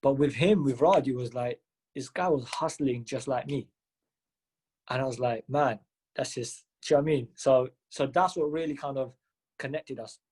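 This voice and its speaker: Young guy from mumbai w a london accent, husky, rattling off stories on a podcast, lots of ums. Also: mid-range voice, poor audio. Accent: London English. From Mumbai, India. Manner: fast, animated, with many fillers and restarts. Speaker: podcast guest. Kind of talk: casual interview storytelling.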